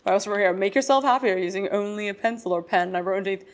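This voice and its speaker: A woman's voice: mid-range voice, very fast. A woman co-hosting a podcast in a casual chat, laughing often as she explains the research she has read. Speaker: podcast co-host.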